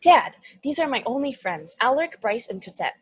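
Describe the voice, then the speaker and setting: A woman's voice: high-pitched, sing-song, fairly quick, husky, exaggerated voice. A woman playing a naive, affectionate young demon, childlike and earnest, in a comedy table read. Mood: excited, eager.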